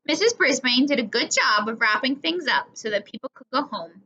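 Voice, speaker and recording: A woman reading a children's story aloud in an expressive, deliberate manner, acting out the dialogue. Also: high-pitched. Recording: dull lo-fi recording.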